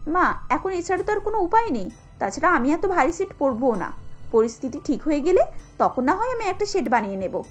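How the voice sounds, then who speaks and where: A woman's voice: high voice, sing-song, brisk, faint music behind, pitched above the performer's own voice. A woman voicing a selfless, dutiful young daughter-in-law in a cartoon story, respectful and reassuring. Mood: gentle, earnest.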